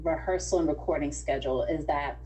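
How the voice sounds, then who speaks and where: A woman's voice: sits low, brisk, dark tone, slight background noise. A woman acting as casting director, explaining things in an organised way on an online audition call.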